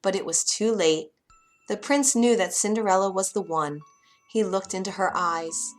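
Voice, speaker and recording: A woman narrating a children's bedtime story in an expressive, dramatic way. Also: mid-range voice, normal speed, faint music behind.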